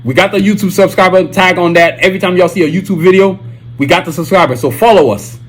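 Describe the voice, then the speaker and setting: A man's voice: high-pitched, quick pace. A man with a Nigerian accent hosting his own podcast, talking casually and reading sponsor plugs, with many repeated catchphrases. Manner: animated, repetitive, keeps saying "you know what I'm saying". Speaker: podcast host.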